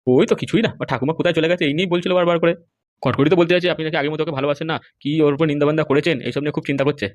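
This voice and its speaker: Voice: medium pitch, steady tone, rapid-fire, no room sound, lightly acted. A performer voicing a fawning, gossipy sidekick in a cartoon comedy, eager and deferential. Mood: tattling. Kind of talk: amateur cartoon comedy dialogue.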